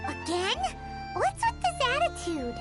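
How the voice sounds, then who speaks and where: Squeaky tiny fairy girl. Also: wildly swooping pitch, a little slow, exaggerated voice. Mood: indignant, curious. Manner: squeaky, refers to herself in third person. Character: tiny childlike fairy companion girl, earnest and chirpy. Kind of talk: video game character acting. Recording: loud music behind the voice.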